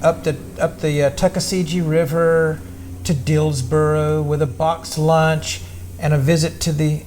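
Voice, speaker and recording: A man's voice: on the high side, flat delivery, unhurried, a little mellow, slight background noise. A middle-aged man hosting his own travel vlog, chatting casually and playfully with his father indoors. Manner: chatty, playful, asks questions. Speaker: vlog host. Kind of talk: casual chat.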